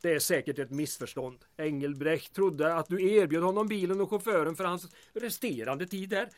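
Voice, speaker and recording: An actor playing a tactful, inquisitive small-town mayor in a comic audio drama, polite and slightly hesitant. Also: male voice, fairly high pitch, natural voice. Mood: reassuring.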